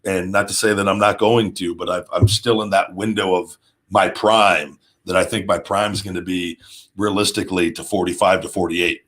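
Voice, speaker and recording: Male host, 30s, lowish pitch, casual unscripted monologue, halting and rambling delivery. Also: fairly even intonation, fairly bright tone. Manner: rambling, halting, with repeated restarts. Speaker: show host, former pro wrestler. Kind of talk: casual off-the-cuff monologue answering viewers' questions.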